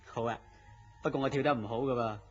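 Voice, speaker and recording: A man's voice: mid-range voice, fairly even intonation, quick pace, lightly acted. An actor with a Hong Kong Cantonese accent playing a polite, bashful man courting his boss's mistress in a radio drama, deferential and hesitant. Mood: shy, teasing. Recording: muffled lo-fi radio drama, music behind.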